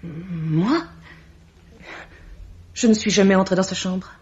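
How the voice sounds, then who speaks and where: An actress playing a haughty mystical bookseller in a French radio mystery, solemn, theatrical and full of contempt. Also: female voice, medium pitch, takes it slowly, a little mellow, natural voice. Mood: haughty, defensive. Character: haughty mystical bookseller woman, accusing and ominous. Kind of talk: French 1950s-style radio mystery acting. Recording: dull vintage radio-drama recording.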